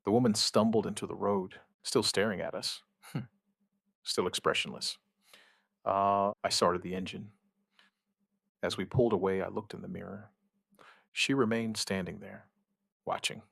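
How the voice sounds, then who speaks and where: An actor playing a shaken outbreak survivor, giving his account in halting, somber, dramatic narration with short deliberate phrases. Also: low-pitched, steady tone, gravelly, a bit bright, husky, dry recording, clean studio sound, exaggerated voice. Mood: grim, haunted. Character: shaken male outbreak survivor recounting events, dryly stubborn. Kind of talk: dramatic first-person scripted narration, witness account.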